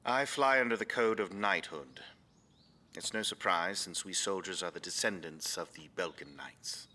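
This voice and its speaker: Forceful, low-voiced knightly soldier. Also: male voice, moderate pace, full character voice. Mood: proud, stern. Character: proud male knightly soldier pilot, stern and stoic. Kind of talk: dramatic testimony.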